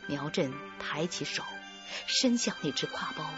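A woman's voice: deep voice, lively intonation, unhurried. A woman giving scripted narration for a radio drama. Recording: dull sound, loud music behind.

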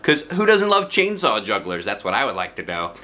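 A middle-aged American man reading a children's storybook aloud in animated narration. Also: on the low side, brisk. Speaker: children's book reader. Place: living room, indoors. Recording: noticeable background noise.

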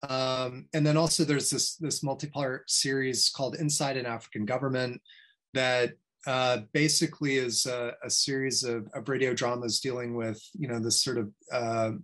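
A middle-aged man presenting research in an informal academic talk, halting and thinking aloud as he goes. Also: mid-range voice, little pitch movement, a bit bright. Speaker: history professor presenting research. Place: indoors on a video call.

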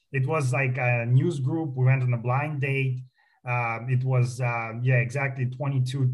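A man's voice: medium pitch, low-quality recording. A middle-aged man from Russia with a Russian accent, a company founder chatting on a radio show, with many fillers and restarts. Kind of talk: casual radio interview. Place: radio studio.

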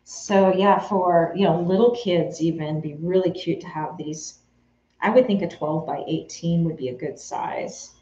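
A chatty woman talking to her viewers while she sews, picked up by a camera a few feet away in a sewing room at home. Her voice sits a little low and sounds roomy and slightly dull. She speaks easily and answers people by name between tasks. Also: fairly even intonation, measured pace, warm tone. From Texas. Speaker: craft vlogger answering live chat. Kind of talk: casual live-stream chat while sewing. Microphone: camera on a tripod, a few feet away.

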